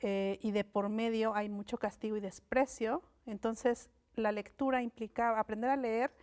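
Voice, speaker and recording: A woman whose first language is Mixe, a linguist and writer from Mexico, speaking as a guest in a thoughtful, unhurried interview on a studio set, with frequent fillers and restarts. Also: on the high side, level tone, darkish tone. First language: Mixe (Ayuujk).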